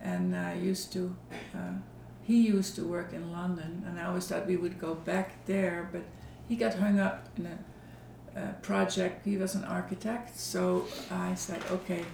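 A woman's voice: fairly low pitch, little pitch movement, a little slow, creaky, hardly any pauses, a little mellow, roomy sound, a little hiss or hum. A woman, a guest artist telling her story unhurriedly in casual conversation at her home. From Netherlands. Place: home living room.

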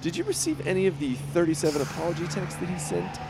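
Man voicing a cowardly cartoon boy hero, lively bluster over background noise. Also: high-pitched, fast, gravelly, heavily acted. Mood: blustery. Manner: self-serving, blustery, panicky. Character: boastful, cowardly young male superhero.

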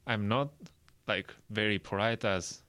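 Low-voiced young Japanese man, casual host. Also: sharp tone. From Chiba, Japan. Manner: halting non-native English with simple phrasing. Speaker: podcast host. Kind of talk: casual free talk.

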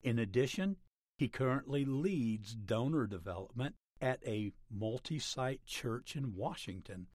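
A man narrating a scripted article aloud in a measured, instructional manner. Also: mid-range voice, animated, darkish tone, no room sound, studio quality.

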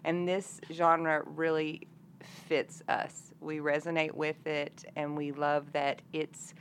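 A woman's voice: sits low, level tone, slow. A woman. She chats casually as an interview guest, with some noise behind her. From Arkansas, United States. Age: 50s. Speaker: interview guest, country singer-songwriter.

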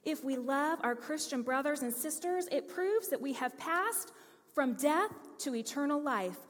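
High-voiced woman preaching in a reverberant hall. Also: unhurried. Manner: earnest storytelling preacher, lively emphasis. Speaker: pastor. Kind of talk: sermon.

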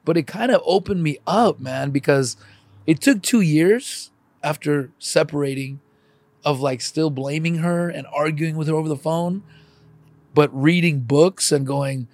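A middle-aged man talks openly in a casual, confessional chat. His voice sits a little high for a man, and he is emphatic, with plenty of swearing and slangy address. The sound is dry and close. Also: measured pace, darkish tone, no echo. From born in Ukraine, emigrated at age four. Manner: candid, emphatic, sweary, peppers talk with "man" and "dude".

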